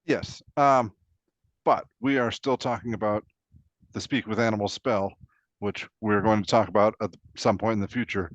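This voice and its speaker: A man's voice: on the low side, steady tone, normal speed, dry recording. A man co-hosting a casual two-person discussion, recorded with a dull, dry sound. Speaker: co-host.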